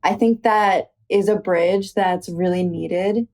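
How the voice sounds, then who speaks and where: A woman's voice: medium pitch, fairly even intonation, takes it slowly, a little mellow. A woman hosting a podcast, upbeat and encouraging with her guest. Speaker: podcast host. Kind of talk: casual podcast interview.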